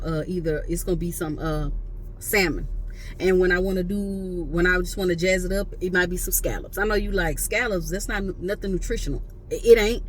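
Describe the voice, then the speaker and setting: A woman's voice: fairly low pitch, slight background noise. A woman in her late thirties, chatting casually and playfully to her viewers, slangy and loose. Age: late 30s. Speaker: vlogger. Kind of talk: casual talk to camera.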